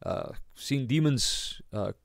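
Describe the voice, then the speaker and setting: A man with a South African accent giving an informal sermon as a pastor, halting as he searches for words. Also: medium pitch, gravelly, no echo, studio quality. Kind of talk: informal sermon, Bible teaching.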